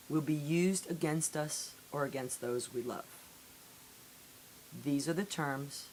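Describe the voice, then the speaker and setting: A woman reading poetry aloud in a solemn, deliberate way with measured phrasing and dramatic pauses, on an amateur recording. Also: rumbling low, poor audio. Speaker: poetry reader. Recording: amateur recording with audible hiss.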